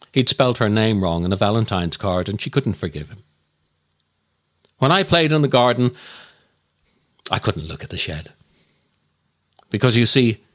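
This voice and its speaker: A male podcast storyteller with a fairly low voice. He delivers a scripted comic monologue in a theatrical, wry way, leaving dramatic pauses for effect.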